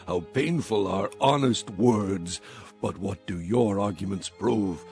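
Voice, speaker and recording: A man's voice: fairly low pitch, gravelly, a little mellow. An actor playing a despairing, suffering man who laments in solemn anguish in a dramatized scripture reading. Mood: pleading. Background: music.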